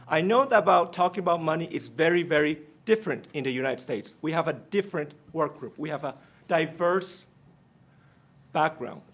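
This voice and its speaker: Taiwanese-accented guy, pretty high voice, debating for union treasurer, blunt. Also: normal speed, fairly bright tone. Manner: non-native phrasing, direct and pointed. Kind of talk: debate statement.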